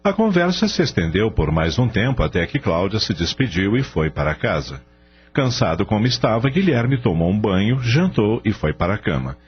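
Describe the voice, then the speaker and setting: Animated low-voiced male narrator. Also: moderate pace, slightly rough. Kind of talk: scripted radio drama narration. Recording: dull lo-fi radio drama with music.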